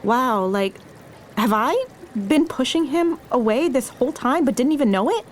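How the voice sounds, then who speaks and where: Earnest, animated young woman. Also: sits high, normal speed, a little hiss or hum. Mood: anxious, self-doubting. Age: young adult. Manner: earnest, questioning. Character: sensible, self-doubting young woman, exasperated older sister. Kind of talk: romcom podcast drama acting.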